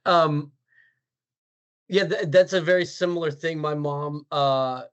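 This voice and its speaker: A man's voice: fairly high pitch, takes it slowly, clear, resonant tone. An American man hosting a podcast, joking easily and talking loosely with many fillers. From USA. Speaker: podcast host. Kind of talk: casual interview chat.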